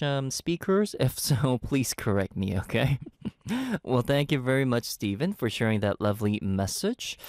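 Lively-voiced male radio host. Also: mid-range voice, no echo, clean studio sound. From Canada. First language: Korean. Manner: chatty, friendly, laughs easily. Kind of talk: radio show, reading listener messages.

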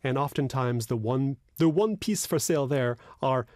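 A man's voice: medium pitch, animated, moderate pace, a little mellow, no echo. A man from the United States co-hosting a casual talk about language, explaining terms with dry wit. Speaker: podcast co-host.

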